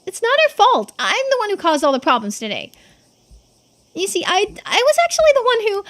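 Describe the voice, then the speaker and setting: A woman's voice: very high voice, wildly swooping pitch, a little fast, a bit bright, pitched above the performer's own voice, full character voice. A woman voicing an earnest, eager youngster in video game dialogue, emphatic and emotionally open. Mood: distressed, guilty. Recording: game music behind the voice.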